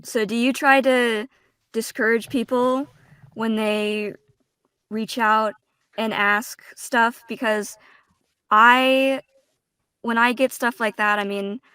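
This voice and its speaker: A woman in a casual roundtable talk as a voice actor, phrasing her thoughts deliberately and a little haltingly. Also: a little high, fairly even intonation, pushed and bright, smooth, no room sound. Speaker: voice actor, panel guest.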